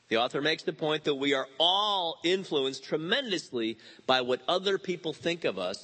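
A man in his fifties preaching a sermon, animated and telling stories with quoted voices. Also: medium pitch. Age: 50s. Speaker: pastor.

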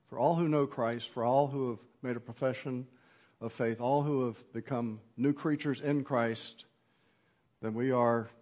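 A middle-aged man preaching an earnest sermon at a measured pace, with occasional fillers. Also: lowish voice, darkish tone. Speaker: pastor.

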